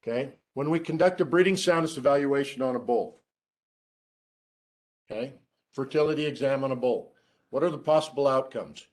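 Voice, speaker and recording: A middle-aged man giving a seminar lecture to students, who calls his own voice monotone and drops dry joking asides while he asks the room questions. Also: mid-range voice, moderate pace, pauses often. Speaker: research scientist giving a seminar.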